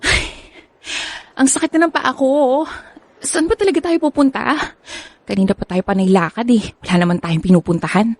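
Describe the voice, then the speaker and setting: An actress playing a young Filipino girlfriend in a radio drama, with an expressive, conversational delivery. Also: fairly high pitch, moderate pace, no echo. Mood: annoyed. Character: affectionate young girlfriend Patricia, teasing, later complaining. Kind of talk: Tagalog radio drama acting. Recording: music bed behind the voice.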